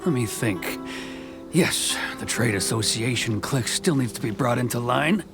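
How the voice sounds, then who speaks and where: An actor playing a self-satisfied, shady tax collector in a video game, musing smugly to himself. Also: male voice, medium pitch, animated, normal speed, close to an everyday voice. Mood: scheming. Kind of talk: acted video game dialogue. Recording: game music behind the voice.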